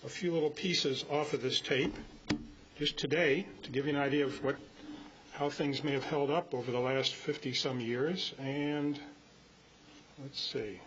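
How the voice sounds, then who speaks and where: A man in his seventies giving an informal, halting, rambling talk to a club meeting. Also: medium pitch, steady tone, fairly bright tone. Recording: muffled live meeting audio with hiss.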